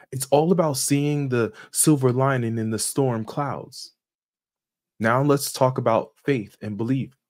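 A man's voice: medium pitch, a little mellow. A man in his forties hosting a channel, reading a scripted sermon review from a home office with small slips and restarts. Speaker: channel host.

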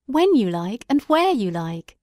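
A woman playing an excitable, devoted gentleman storyteller in a dramatic audiobook, with an expressive, earnest and formal delivery. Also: a little high, a little slow, no echo, clean studio sound. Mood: eager.

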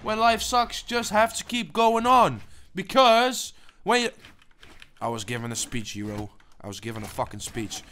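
A young man giving casual gameplay commentary, stammering and swearing, swinging between low mumbles and excited yelling. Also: normal speed. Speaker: gaming channel host.

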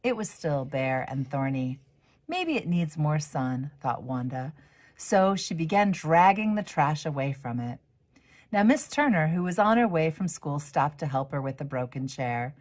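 A middle-aged woman with a low voice reads a children's picture book aloud. She tells the story expressively, in an animated way that suits young listeners. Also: normal speed. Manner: animated, acts out the dialogue. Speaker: storyteller, reverend.

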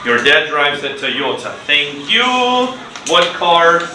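Slow-talking male teacher, echoey classroom. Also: fairly high pitch. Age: middle-aged. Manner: deliberate, simple phrasing with repeated prompts and praise. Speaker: English teacher. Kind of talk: interactive classroom teaching to children. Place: classroom, indoors. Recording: echoey, noisy classroom from far off.

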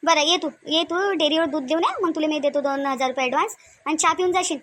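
Woman acting a shrill, fast-talking nagging village wife. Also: a bit bright, a little hiss or hum. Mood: brisk. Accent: Varhadi Marathi of Vidarbha. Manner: complaining, scolding, rhetorical questions. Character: sharp-tongued, nagging middle-aged village wife and mother-in-law. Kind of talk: comic cartoon dialogue.

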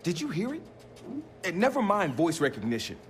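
An enthusiastic young American man as a video game hacker. He talks in casual slang with a lively, swinging pitch. Faint noisy ambience sits behind him. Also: fairly quick. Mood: excited. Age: young adult. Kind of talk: video game cutscene acting.

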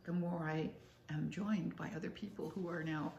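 A woman in her sixties with a raspy voice, chatting casually to the camera at home in a rambling, matter-of-fact way while she snacks. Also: low-pitched, steady tone, normal speed, darkish tone, muffled recording. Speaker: vlogger. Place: living room at home. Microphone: camera close up on a table. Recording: roomy home room with faint hiss.

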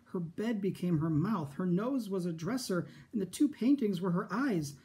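A middle-aged man reading a picture book aloud to children in an expressive storytime style, recorded in a room at home. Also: high voice, moderate pace. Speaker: school storytime reader. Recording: home recording with background noise.

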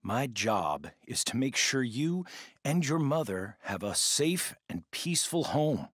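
A middle-aged American military stepfather in a game. He lectures sternly in a husky, gruff voice that swings widely in pitch. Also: sing-song, unhurried, a bit bright, no echo, studio quality, heavily acted. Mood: controlled, firm. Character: stern, gruff ex-army stepfather with wounded authority. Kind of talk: video game voice acting.

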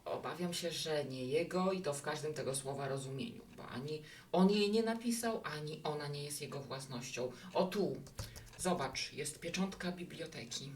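A woman's voice: low voice, moderate pace, a little mellow, far from the mic, lightly acted. An actress playing a chatty, romantic, hospitable woman who runs a bar in an audio drama. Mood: confiding. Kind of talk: Polish audio drama acting.

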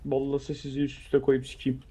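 A man's voice: medium pitch, completely flat delivery, normal speed, mellow, slight background noise. A man bantering rowdily with friends in an online roleplay game, crude and taunting, swearing constantly. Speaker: gaming streamer playing online roleplay.

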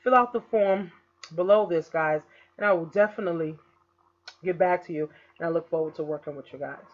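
A woman in her early thirties, an online business coach, giving a casual recruiting pitch to camera, repeatedly checking in with "okay?" and "guys". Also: a little fast, narrow, tinny audio. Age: 30s. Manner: repetitive, keeps saying "okay?" and "guys". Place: indoor room. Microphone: laptop webcam in screen recording.

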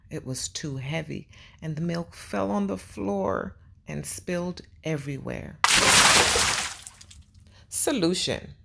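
A woman with a slightly low voice gives a lesson for young children. She speaks very slowly and deliberately, in simple words, asking her listeners questions and reading aloud as if from a storybook. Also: vocal fry, breathy edge. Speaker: teacher and story reader for children.

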